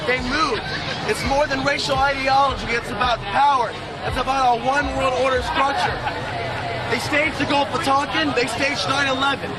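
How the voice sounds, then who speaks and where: An American man giving a strident, repetitive political street speech through a megaphone, outdoors. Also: extremely high pitch. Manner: strident, declamatory, repetitive, confrontational. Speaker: street activist. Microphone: amplified megaphone caught by a bystander's camera. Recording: busy city street with music, traffic. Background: music, crowd, vehicles.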